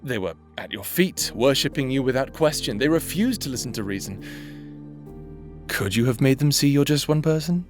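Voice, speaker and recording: An actor playing a gloating, coldly scheming male necromancer in an audio roleplay, with a deliberate, mocking, theatrical delivery. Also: mid-range voice, animated, over music, lightly acted. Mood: mocking, needling. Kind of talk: dramatic scripted audio roleplay.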